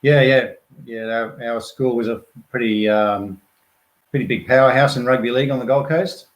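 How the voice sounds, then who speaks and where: A middle-aged man talks in a relaxed, understated way with a dry wit. His voice is at an ordinary pitch for a man. The room has a noticeable echo. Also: moderate pace, dull audio. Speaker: guest volunteer. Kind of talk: casual live-stream chat.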